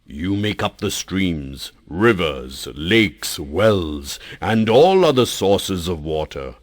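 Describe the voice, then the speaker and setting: A man voicing a stern, angry old male mountain who talks in a scolding, booming way. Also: sing-song, slow, exaggerated voice.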